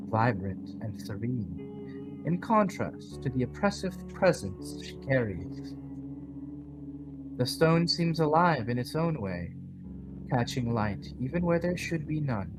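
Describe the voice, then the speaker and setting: A man narrates a dark audio drama at a very slow, deliberate pace. His pitch moves expressively as he paints each scene with dramatic weight. Music plays softly behind his voice. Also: low-quality recording. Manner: measured, dramatic storytelling delivery. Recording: background music under the voice.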